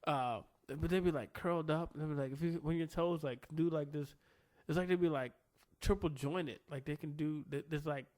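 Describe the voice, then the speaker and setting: A man's voice: on the high side, normal speed, darkish tone. A young man from Richmond, Virginia, co-hosting a comedy podcast in loose casual chat, laughing often and rambling through restarts. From Southside Richmond, Virginia. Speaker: podcast co-host.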